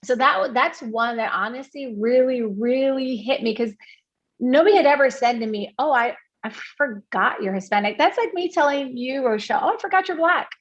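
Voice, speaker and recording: A woman's voice: high-pitched, moderate pace, dull audio. A woman from Puerto Rico, a guest in an interview, talking earnestly with many restarts and fillers. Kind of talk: candid interview conversation.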